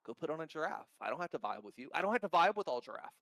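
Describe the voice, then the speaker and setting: A man who founded a crypto token, talking in a casual podcast chat, outspoken and blunt with a loud voice and free swearing. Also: a little high, sing-song, rapid-fire, no room sound.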